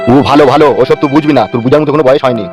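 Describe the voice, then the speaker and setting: A man's voice: fairly high pitch, fairly even intonation, very fast, loud music behind the voice, close to an everyday voice. A man voicing a cheeky, brazen village husband in a comedy cartoon, teasing and crude. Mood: dismissive, brazen.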